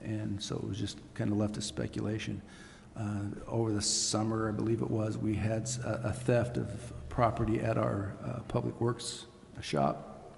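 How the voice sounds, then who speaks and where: A man, a city official, answering council questions in a halting way with frequent "uh" pauses. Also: on the low side, flat delivery, vocal fry, darkish tone, a little hiss or hum. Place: council chamber. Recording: reverberant chamber with faint ambience.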